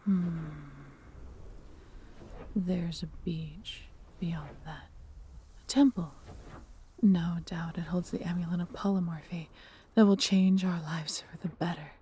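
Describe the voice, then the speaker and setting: Woman playing a low, proud, doting dragoness, noisy recording. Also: steady tone, dark tone. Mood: protective, watchful. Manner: theatrical, haughty yet tender, measured delivery. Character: proud, doting female dragon, adventurous and protective. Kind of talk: fantasy audio roleplay addressed to listener.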